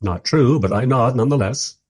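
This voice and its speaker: An actor playing a mild, polite time-travelling interviewer who narrates his story wryly in a radio play, with a husky voice. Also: medium pitch, a little fast, a little mellow, lightly acted. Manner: wry, polite. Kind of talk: first-person radio play narration and dialogue.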